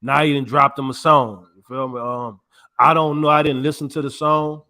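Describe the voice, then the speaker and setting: A man's voice: mid-range voice, fairly even intonation, moderate pace, bright, forceful tone. A man co-hosting a podcast, chatting casually in a laid-back, slangy way. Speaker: podcast co-host. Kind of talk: casual chat.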